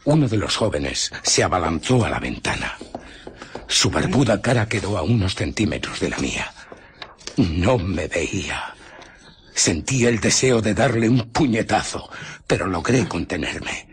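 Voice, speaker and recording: An actor playing an embittered, furtive invisible scientist who recounts his escape in a theatrical, often hushed radio drama style. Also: lowish voice, sing-song, unhurried, slight background noise.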